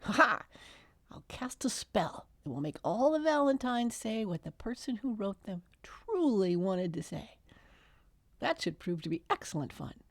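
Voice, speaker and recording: A woman's voice: medium pitch, sing-song, unhurried, dry recording, pitched above the performer's own voice. A woman voicing an impish, cheerful male fairy with a beard in a storybook reading. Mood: gleeful.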